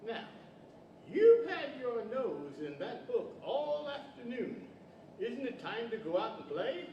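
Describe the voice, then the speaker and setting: Casting: older man as courtly storybook wizard-professor, theatrical, high-pitched, in an echoey hall. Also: very animated, slow pace, exaggerated voice. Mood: kindly, instructive. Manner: formal, theatrical. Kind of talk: 1940s radio-style stage acting. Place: large library event room, standing microphone. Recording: distant, dull, echoey hall with hiss.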